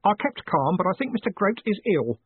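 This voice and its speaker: A man doing a jittery high-voiced boy. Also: very animated, brisk, husky, no room sound, heavily acted. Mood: eager. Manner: eager, earnest, jittery. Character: anxious young post office boy. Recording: dry, tinny phone-like sound.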